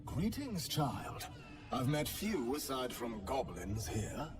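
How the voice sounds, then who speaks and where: An actor playing a serene, sinister priest devoted to pain in a video game, measured, unctuous and softly intense. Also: male voice, mid-range voice, very animated, recorded from a distance, music in the background. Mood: courteous menace.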